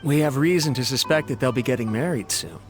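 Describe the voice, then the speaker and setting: A man's voice: mid-range voice, fast talker, lightly acted. An actor playing a friendly, polite young man who talks cautiously and conversationally, in a video game cutscene. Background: game music.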